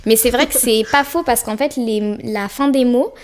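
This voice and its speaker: Chatty young French woman, higher voice. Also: moderate pace. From France. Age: young adult. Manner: relaxed, chatty, slangy with many fillers. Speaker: podcast guest. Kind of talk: casual interview chat.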